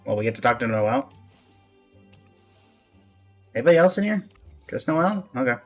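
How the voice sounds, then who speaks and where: A man's voice: medium pitch, big pitch swings, normal speed, soft background music. A man streaming a video game with casual, chatty commentary.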